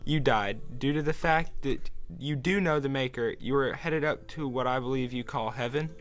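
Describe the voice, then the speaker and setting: A man playing a calm otherworldly guide of the dead in an audio drama, measured, cryptic and probing. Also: mid-range voice, faint music behind, close to an everyday voice. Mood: calm, explanatory.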